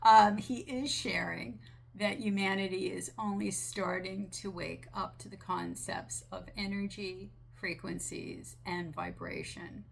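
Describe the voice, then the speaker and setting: A woman channeler talking gently and earnestly, her voice raspy from a sinus infection. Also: medium pitch, steady tone, a little hiss or hum. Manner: slow, gentle, earnest with small restarts. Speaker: spiritual channeler. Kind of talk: relaxed monologue relaying a channeled message.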